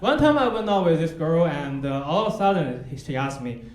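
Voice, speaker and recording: Young man with a Chinese accent doing dry, self-mocking stand-up, bouncy and slightly high, in an echoey club with a noisy crowd. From China. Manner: deadpan, self-mocking, with pauses for laughs. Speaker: stand-up comedian. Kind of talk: stand-up comedy set. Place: comedy club stage. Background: audience laughter.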